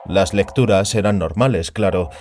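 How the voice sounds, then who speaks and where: A man's voice: low voice, moderate pace, darkish tone, a little hiss or hum. A man reading a science fiction story aloud as a scripted, dramatic narration.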